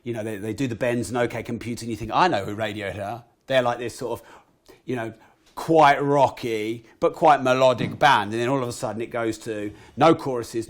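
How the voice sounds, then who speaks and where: Man, croaky voice, animated podcast storyteller, casual swearing, mid-pitched. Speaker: guest entrepreneur.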